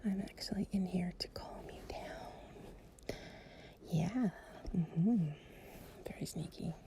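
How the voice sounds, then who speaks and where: A woman chatting casually and intimately to the listener in an improvised relaxation roleplay, softly and breathily with drops into whispering, in a playful, sleepy, confiding way. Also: on the low side, slow. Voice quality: soft, breathy, often dropping to a whisper. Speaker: audio roleplay performer playing a loving companion.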